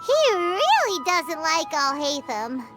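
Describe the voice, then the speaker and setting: A woman voicing a tiny childlike fairy companion in a video game, eager and chatty with a squeaky voice. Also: sing-song, slow, music in the background, exaggerated voice. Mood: musing. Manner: speaks of self in third person.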